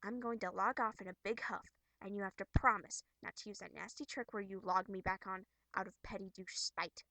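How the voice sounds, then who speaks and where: A woman's voice: mid-range voice, steady tone, brisk, gravelly, sharp tone, dry recording, pitched below the performer's own voice, rough recording. Woman playing a snide, sarcastic young troll girl. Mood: contemptuous. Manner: mocking, exasperated, drawling sarcasm. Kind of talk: amateur character voice acting from a webcomic.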